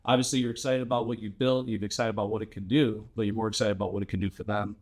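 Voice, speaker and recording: A man's voice: lowish voice, level tone, racing, a little mellow. A man hosting an interview podcast, talking in an upbeat, effusive way and heaping praise on his guest. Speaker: podcast host. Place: studio in Madison, Wisconsin.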